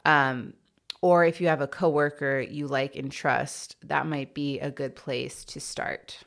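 A woman's voice: low voice, fairly even intonation, unhurried, creaky. A woman who stutters, hosting a podcast and answering listener questions in a candid, reflective way, with blocks and repeated sounds. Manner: candid and reflective, with many fillers. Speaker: podcast host.

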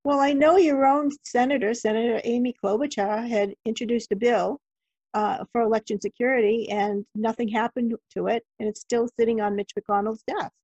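Female interviewer, husky and slightly high, conversational, on a slightly dull recording. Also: steady tone, normal speed, darkish tone, no room sound. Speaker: interviewer, host.

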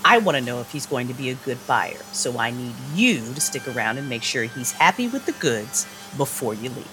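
An actress playing a calm, calculating vampire woman who gives orders in a deliberate, businesslike way in an audio drama. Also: very deep voice, soft background music, exaggerated voice, low-quality recording. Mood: businesslike, commanding.

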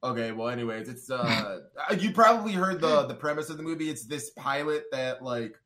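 A man's voice: medium pitch, lively intonation, fairly quick, crowd noise. A man in his twenties in a casual chat, laid-back and swearing, calling people dude and bro. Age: 20s. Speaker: guest.

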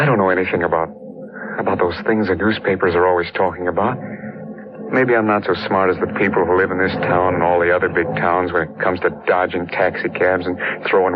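An actor playing a plain-spoken, earnest young American husband in a 1940s radio drama, with a folksy, boyish manner. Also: male voice, low-pitched, lightly acted. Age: young adult. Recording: muffled, noisy old radio recording.

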